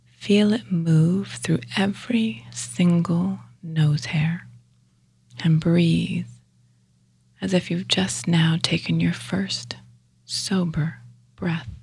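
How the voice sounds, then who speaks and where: A woman leading a guided yoga nidra meditation as a teacher, hushed and measured, with a breathy, husky voice that often nears a whisper and pauses between short phrases. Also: fairly low pitch, steady tone, no echo.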